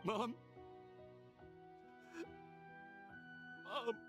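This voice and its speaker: A man's voice: extremely high pitch, takes it slowly. A man playing a bitter, desperate son in an anime video game, over music. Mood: desperate, pleading. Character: bitter, desperate son, accusing then pleading with mother.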